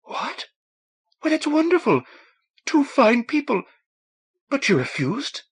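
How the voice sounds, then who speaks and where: A man voicing a warm, frank Irish woman, a ship's doctor, in a lilting, coaxing manner for an audiobook. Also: extremely high pitch, wildly swooping pitch, takes it slowly, pitched above the performer's own voice, full character voice. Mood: encouraging, frank.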